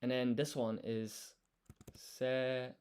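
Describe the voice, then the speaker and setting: Casting: male study streamer, mid-pitched voice, slow and thoughtful, casual chat, sounds words out piece by piece. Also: level tone, a little mellow, clean tone. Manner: thinking aloud, sounding out words syllable by syllable. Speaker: live streamer studying Japanese.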